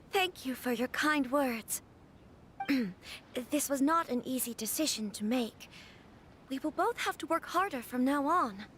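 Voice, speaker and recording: A woman's voice: sits high, lively intonation, slow pace, pauses often, fairly bright tone, a little hiss or hum, full character voice. A woman voicing a formal, slightly anxious young noblewoman in a video game, polite and a little hesitant. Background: game music.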